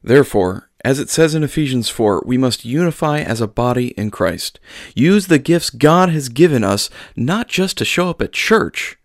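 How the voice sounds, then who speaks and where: A man's voice: medium pitch, lively intonation, moderate pace, dry recording, studio quality, voice pushed up, close to an everyday voice. A man voicing an earnest young pastor, sermonizing and talking with his congregation. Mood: preaching.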